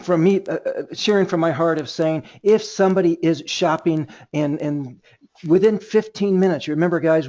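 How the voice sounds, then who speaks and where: A man hosting a sales conference call informally, halting and repeating words as he moderates and coaches. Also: high voice, dark tone. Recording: dull, lo-fi conference call line.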